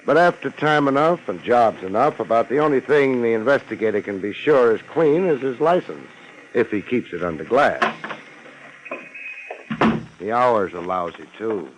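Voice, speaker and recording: A male actor plays a wry, world-weary American private eye from 1950s radio drama. His mid-pitched voice swings freely through deadpan wisecracks, cool under threat. The old broadcast recording has a faint layer of noise. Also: normal speed, highs cut off, lightly acted. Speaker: detective hero and narrator.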